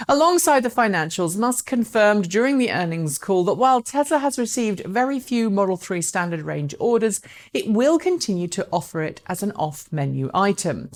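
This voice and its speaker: A woman's voice: mid-range voice, big pitch swings, moderate pace, hardly any pauses. A woman presenting electric car news as scripted narration, speaking articulately and directly to viewers.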